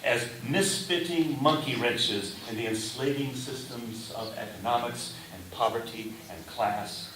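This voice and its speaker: A man delivering a sermon in a deliberate manner, in short phrases with pauses. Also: mid-range voice. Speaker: preacher. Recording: roomy echo, distant mic, faint hiss.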